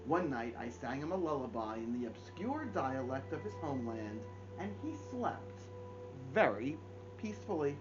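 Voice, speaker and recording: Animated storyteller man with very lively pitch. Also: dull audio, over music. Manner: animated, theatrical delivery with deliberate pauses. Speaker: story time host and book reader. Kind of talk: expressive children's read-aloud storytelling. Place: indoors, small home room.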